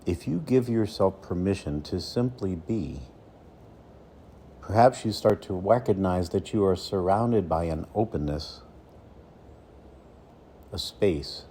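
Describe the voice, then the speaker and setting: Deep voiced guy doing guided meditation, slow n soothing, lots of pauses, bit of background noise. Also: expressive, dark tone. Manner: slow, soothing, with deliberate pauses between phrases. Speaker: meditation coach.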